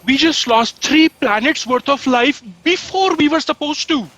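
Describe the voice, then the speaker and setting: A woman playing an earnest, long-suffering copy aide in a comedic audio drama, deliberate and dry with an anxious urgency. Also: high voice, fairly bright tone, dry recording, low-quality recording. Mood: exasperated, urgent.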